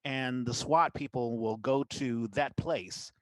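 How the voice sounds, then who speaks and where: A man hosting a podcast, chatting casually about the news in a conversational, somewhat halting way. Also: mid-range voice, no echo. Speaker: podcast host.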